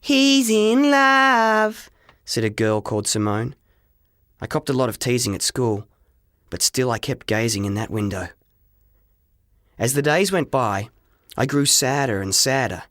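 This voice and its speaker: A male storyteller reads a first-person story in a dramatic, expressive way. His voice sits at a middling pitch. The sound is very dry, with little room around it. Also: normal speed, long pauses, no echo. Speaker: audiobook narrator.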